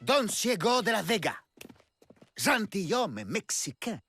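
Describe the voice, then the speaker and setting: An actor playing a theatrical, pompous Mexican gentleman who is secretly a masked avenger in a cartoon. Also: sits high, big pitch swings, slow pace, noisy background, heavily acted. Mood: dramatic. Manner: grandiloquent, pompous. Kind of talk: cartoon voice acting. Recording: music behind the voice.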